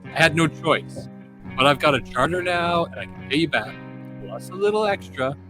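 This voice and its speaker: A man's voice: on the high side, animated, normal speed, music in the background, close to an everyday voice, poor audio. A man playing a cocky, wry smuggler pilot in a casual table read of a script. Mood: pleading, cool.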